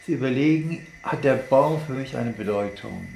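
A man explaining science off the cuff, halting and deliberate, as if thinking aloud. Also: medium pitch, lively intonation, gravelly, darkish tone. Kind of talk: unscripted explanatory monologue. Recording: echoey room with background noise.

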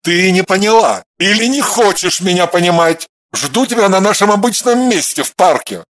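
A man's voice: sits high, expressive, normal speed. A man doing a furious, jealous husband. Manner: shouting, snapping, growling. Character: jealous, hot-tempered middle-aged husband.

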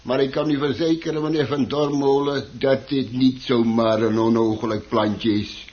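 An actor in a radio play playing a chatty, grumbling plant shop keeper who hesitates, stammers and repeats himself. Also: male voice, medium pitch, steady tone, moderate pace, a little mellow, natural voice. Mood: earnest. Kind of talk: Dutch radio play acting. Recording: dull, muffled old radio recording.

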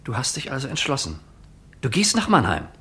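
An actor playing a loyal, worried young friend in a radio play, speaking quietly with concern. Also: male voice, medium pitch, wildly swooping pitch, a little fast.

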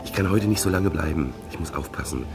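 A very low, gravelly man in a crime radio drama. He is wary and conspiratorial, handing out clipped instructions over clear background music. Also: quick pace. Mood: guarded, businesslike. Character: wary male smuggler-helper, hushed and businesslike. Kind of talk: German crime radio play acting.

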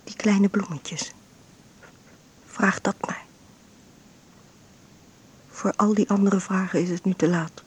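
An actress playing a frail, ailing bedridden mother in an intimate radio drama monologue, breathy and often near a whisper, with halting short phrases. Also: medium pitch, steady tone, darkish tone. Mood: intense, pleading. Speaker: actress narrating in a radio play.

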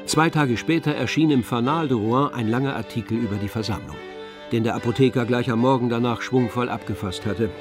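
An actor as a composed, observant narrator reading literary prose in a radio play. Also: male voice, mid-range voice, brisk, natural voice. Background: music.

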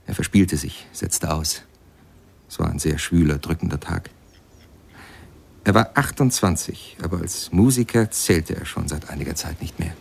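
An actor playing the narrator of a radio ballad, addressing listeners directly in short, deliberate sentences. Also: male voice, deep voice, gravelly, slightly rough, exaggerated voice. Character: storytelling male narrator of a jazz musician's sad life.

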